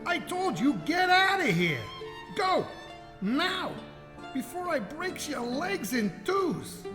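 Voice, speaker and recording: A woman's voice: high-pitched, sing-song, slow, exaggerated voice. A woman playing a tough, threatening security guard in a radio play, blunt and slangy. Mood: gruff, threatening. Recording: reverberant hall sound, music behind.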